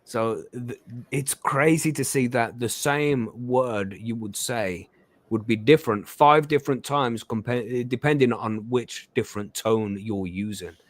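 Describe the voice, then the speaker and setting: A man's voice: medium pitch, measured pace, no room sound. Man, West Midlands English accent, easygoing self-mocking guest, dry close mic. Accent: Brummie, West Midlands English. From England. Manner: chatty, laughs easily, self-deprecating. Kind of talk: casual chat.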